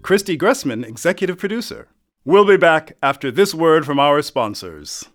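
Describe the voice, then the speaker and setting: Animated male announcer over music. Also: on the high side, moderate pace. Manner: grandiose, playful. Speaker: announcer reading closing narration and credits. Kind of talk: theatrical scripted serial announcer narration. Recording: music bed under the voice. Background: music with plucked guitar.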